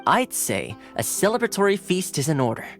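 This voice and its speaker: A man voicing an easygoing, cheerful young male retainer in a video game, breezy and playfully expressive. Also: high-pitched, a little fast, full character voice. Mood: playfully enthusiastic. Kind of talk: animated video game voice acting. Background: background music.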